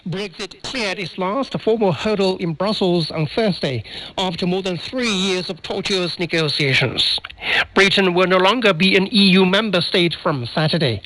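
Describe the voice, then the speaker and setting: A man's voice: high-pitched, normal speed, bright, forceful tone, no echo, low-quality recording. A man reading scripted news as a radio anchor, heard over a shortwave broadcast.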